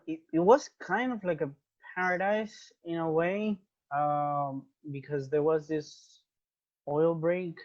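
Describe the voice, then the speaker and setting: A man's voice: fairly high pitch, muffled recording. A young man from Venezuela with a Venezuelan Spanish accent, a guest in a casual interview, who speaks English as a second language and often restarts phrases while searching for words.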